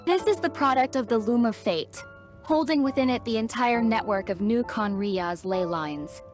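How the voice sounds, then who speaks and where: A woman's voice: fairly high pitch, normal speed, natural voice. A woman playing a solemn, resolute young princess in a video game, speaking earnestly and formally as if burdened by old guilt. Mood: solemn, determined. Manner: earnest, formal storytelling delivery. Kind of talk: dramatic video game dialogue. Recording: studio voice over background music.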